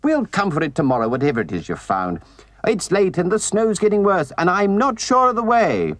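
A man voicing a cheerful, kindly male rat in a storybook, hearty and chummy with a brisk, reassuring way of talking. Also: high-pitched, big pitch swings, no echo, voice pushed up. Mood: brisk, urging. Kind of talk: storybook character reading.

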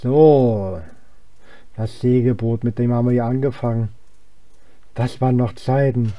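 A man's voice: mid-range voice, steady tone, a little slow, dry recording, highs cut off. A young man giving casual gameplay commentary, rambling and thinking aloud as he plays. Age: young adult. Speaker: let's player.

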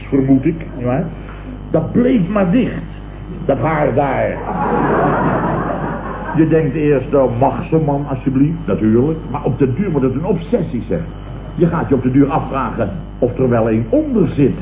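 A man's voice: medium pitch, phone-quality sound, natural voice. Casting: male actor as blustering, self-satisfied company boss for vintage radio comedy; thin noisy sound, mocking repeats. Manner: pompous, repeats others' words mockingly. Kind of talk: 1970s Dutch radio comedy acting. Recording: noisy, roomy old radio broadcast recording.

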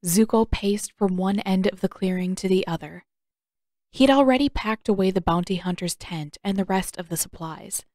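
A woman's voice: on the low side, darkish tone, no room sound, studio quality. A woman reading scripted audiobook fiction as the narrator.

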